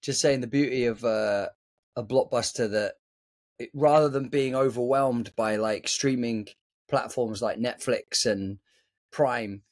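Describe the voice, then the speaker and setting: A man co-hosting a podcast, telling anecdotes with animated delivery and acted-out voices. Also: mid-range voice, unhurried. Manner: animated storyteller, acts out voices. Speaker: podcast co-host. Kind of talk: casual podcast chat.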